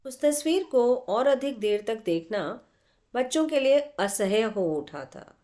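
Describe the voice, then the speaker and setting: A woman's voice: fairly high pitch, very animated, takes it slowly, warm tone. A woman storyteller hosting a podcast, narrating expressively and speaking directly to her listeners.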